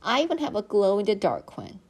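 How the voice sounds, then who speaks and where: A boastful young schoolgirl in a children's story, put on by a woman narrator. She sounds smug and show-offy, with animated intonation. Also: medium pitch, fairly quick, breathy edge, heavily acted. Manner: smug, showing off. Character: boastful, smug grade-school girl, top speller.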